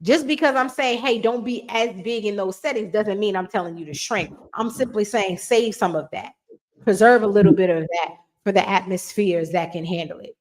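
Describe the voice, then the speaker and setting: A woman podcast co-host speaking in lively, emphatic casual conversation. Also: medium pitch, moderate pace. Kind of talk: casual chat.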